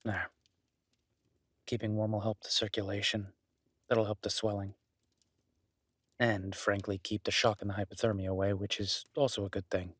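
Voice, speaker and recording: Protective outdoorsman , played by a man for an intimate audio drama: husky, dry close sound, gently teasing and reassuring. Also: on the low side, flat delivery, no echo. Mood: caring, reassuring. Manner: soft-spoken, unhurried, reassuring, wryly joking. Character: gruff-kind woodsman host, gently teasing, protective cabin dweller. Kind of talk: roleplay audio drama, intimate one-sided conversation.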